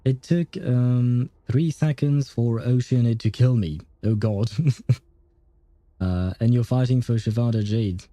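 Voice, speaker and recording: A male game streamer chats casually with his viewers while commenting on the play. His voice is very dark and soft-edged at a medium pitch, recorded close and dry. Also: measured pace, dry recording. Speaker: game livestreamer.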